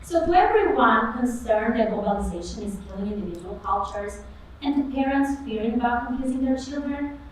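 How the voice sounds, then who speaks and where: A young woman whose first language is Albanian presents her research on a stage in an earnest, practiced way, with her voice set a bit high. She sounds distant from the mic, and the hall gives her a strong echo over faint background noise. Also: lively intonation, fairly quick. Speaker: competition presenter. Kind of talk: rehearsed research talk. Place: auditorium stage.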